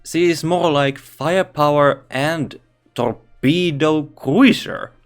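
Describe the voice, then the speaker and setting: Slow halting man with a Finnish accent. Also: on the high side, faint music behind. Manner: halting, thinks aloud, frequent restarts. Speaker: game video reviewer. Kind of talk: casual game commentary.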